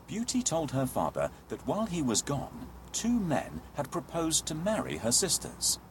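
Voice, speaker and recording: A man narrating a scripted children's fairy tale as an expressive, dramatic storyteller. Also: medium pitch, slight background noise, low-quality recording.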